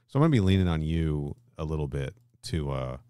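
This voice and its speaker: A man with an extremely low voice co-hosts a casual film discussion podcast. He talks informally, swears easily and keeps checking in with questions. The sound is dry, with very little room in it. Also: very animated, brisk, mellow, clear, resonant tone, dry recording. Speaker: podcast co-host.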